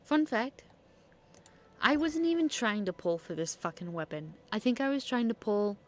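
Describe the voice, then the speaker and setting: A woman's voice: fairly high pitch, expressive, normal speed. A woman giving casual gameplay commentary as a streamer, talking to herself playfully and swearing casually. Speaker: game streamer.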